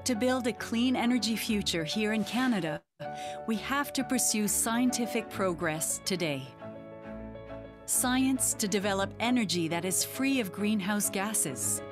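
A woman reading a scripted documentary narration in a steady, serious way. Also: mid-range voice, measured pace. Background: music.

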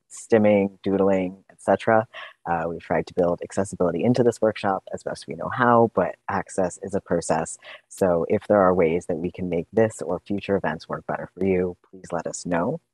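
A man's voice: low voice, a little mellow, no echo, low-quality recording. A man giving prepared opening remarks for an online workshop he co-facilitates, speaking in an earnest, read-out way over a video call.

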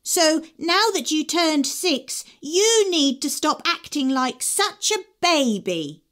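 A bossy little girl with a high, sing-song taunt, drawing out her words slowly, as a woman puts her on. She speaks from a storybook reading. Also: sing-song, fairly bright tone, exaggerated voice. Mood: teasing. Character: young girl, bossy teasing sister.

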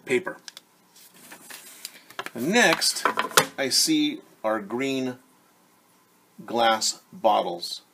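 A man's voice: medium pitch, expressive, extremely slow, pauses often, a bit bright, a little hiss or hum. A man in his forties reading a storybook to young children as their teacher, talking directly to them and repeating key words. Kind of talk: story time read-aloud for young children. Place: living room at home, indoors.

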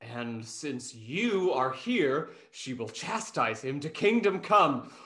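A young man playing a snarky, gossip-loving gentleman in a period comedy, with a wry theatrical manner. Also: mid-range voice, big pitch swings, slow pace, full character voice. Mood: amused. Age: young adult. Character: snarky, gossip-loving male gentleman, rake's best friend. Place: indoor room, video call with earphones.

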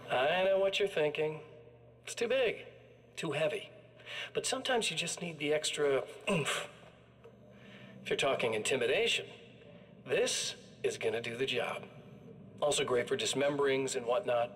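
A man's voice: medium pitch, expressive, moderate pace, pauses often, fairly bright tone, a little hiss or hum, close to an everyday voice. A man playing a sadistic evil-twin killer in a video game, chatting with smug, casual menace. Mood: mocking, menacing. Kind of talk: video game monologue acting.

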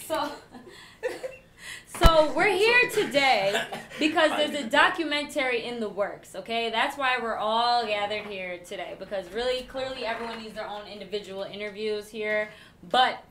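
A lively woman hosts a casual group chat around a table, teasing her guests and laughing easily. Her voice sits fairly high and rings in an echoey small room with a faint hiss underneath. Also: measured pace. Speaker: podcast host.

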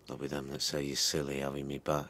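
An actor playing a glib, restless estranged husband in a radio drama, persuasive and sarcastic. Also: male voice, extremely low pitch, completely flat delivery, quick pace, fairly bright tone. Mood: subdued, wary. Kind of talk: naturalistic radio drama acting.

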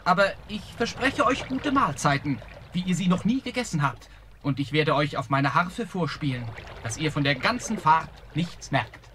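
An actor playing a brave, earnest young prince in a fairy-tale radio play, speaking in a polite, resolute way with a slightly husky voice. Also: male voice, on the high side, expressive, moderate pace, sharp tone, a little hiss or hum. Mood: persuasive.

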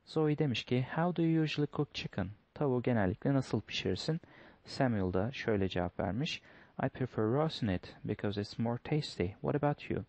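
A man's voice: medium pitch, expressive, brisk, creaky, a little mellow, no room sound, muffled recording. A man teaching a lesson, going through test questions step by step, reading each line in English and then translating it. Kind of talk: explaining test answers.

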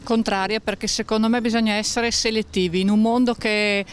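A woman's voice: a little high, fairly even intonation, fairly quick, a little hiss or hum. A middle-aged woman answering a street interview firmly and emphatically, speaking into a handheld mic outdoors. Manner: firm, opinionated, emphatic. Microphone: handheld mic held by interviewer.

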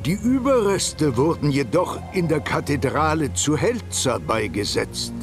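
Stately older nobleman, higher-pitched, lively intonation, formal game cutscene delivery over music. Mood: grave, courteous. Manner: formal, measured, ceremonious. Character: stately, formal older count, guardian of a relic. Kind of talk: video game cutscene acting.

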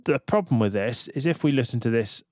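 A man giving a casual, conversational software tutorial voice-over, explaining things step by step. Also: medium pitch, lively intonation, a little fast, dry recording, studio quality. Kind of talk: casual screen-recorded tutorial narration.

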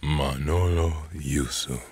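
An actor playing a frail, gentle old great-grandfather and storyteller in an audio drama, speaking haltingly and wearily. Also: rumbling low, fairly even intonation. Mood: tender, earnest. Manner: halting, tender, weary.

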